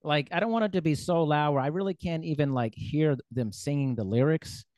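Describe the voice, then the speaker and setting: A man hosting a podcast, talking in a relaxed, rambling way with frequent fillers. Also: on the high side, fairly quick, no echo, clean studio sound. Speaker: podcast host. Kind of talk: casual podcast conversation.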